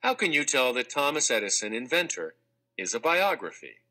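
Guy narrating a kids textbook, super bright crisp voice, lots of up and down, measured and expressive. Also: medium pitch. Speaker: audiobook narrator. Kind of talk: scripted read-aloud of a children's textbook.

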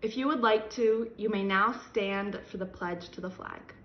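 A young woman recites in a clear, steady cadence at an ordinary pitch. The recording sounds dull and roomy, as if she were made at home on a webcam or phone. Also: moderate pace, very noisy. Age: young adult. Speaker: graduating student. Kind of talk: leading the pledge. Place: indoors against a brick wall.

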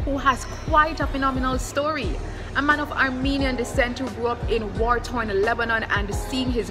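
A young adult woman hosting an interview show, speaking in an upbeat, emphatic way. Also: on the high side. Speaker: host. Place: indoor room with shelves.

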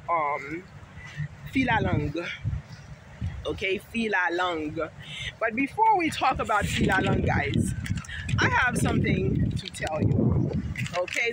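A middle-aged woman from Haiti, vlogging casually in a chatty, rambling, emphatic way while walking through a park. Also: medium pitch. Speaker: vlogger and teacher. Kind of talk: casual talk to camera. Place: sunny city park outdoors. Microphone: phone held at arm's length. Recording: noisy outdoor phone recording.

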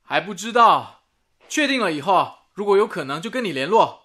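High-voiced dutiful radio station man. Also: lively intonation, normal speed, sharp tone. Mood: concerned. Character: dutiful, businesslike male wartime radio station engineer. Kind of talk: radio drama dialogue.

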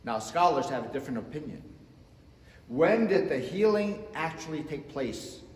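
A man in his fifties preaching a sermon from the front of a church sanctuary, slow and emphatic, swinging between loud and soft. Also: fairly high pitch, expressive. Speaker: pastor. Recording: echoey church with long reverb.

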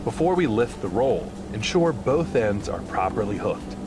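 A man giving scripted, instructional training narration in a clear manner. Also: lowish voice, very animated, normal speed, noisy background. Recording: music behind the voice. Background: prominent background music.

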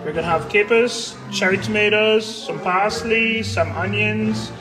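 A man's voice: high-pitched, unhurried. A chef in a home kitchen cheerfully walking through the dishes he is cooking, one item at a time. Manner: upbeat, listing dishes item by item. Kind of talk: presenting dishes. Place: large home kitchen, indoors. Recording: roomy kitchen with loud music, off-mic.

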